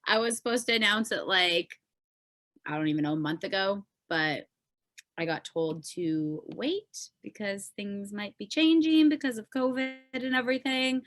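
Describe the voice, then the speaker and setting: A woman's voice: mid-range voice, normal speed, fairly bright tone, no echo, clean studio sound. A Canadian woman chatting casually and informally as a podcast guest, peppering her talk with "like". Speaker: podcast guest, pop singer. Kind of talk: casual chat.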